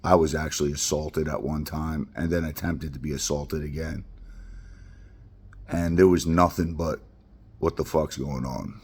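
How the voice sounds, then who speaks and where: A man's voice: extremely low pitch, steady tone, measured pace, darkish tone, husky. A middle-aged man from Queens, talking bluntly and heartfelt in a rambling personal monologue. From Queens, New York. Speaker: vlogger.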